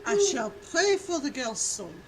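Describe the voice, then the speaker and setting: An actress playing an earnest, superstitious French governess with formal speech and a French accent in a radio drama. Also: female voice, sits high, big pitch swings, a little slow, a loud crowd, exaggerated voice, low-quality recording. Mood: solemn, earnest. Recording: clear noise, night ambience behind.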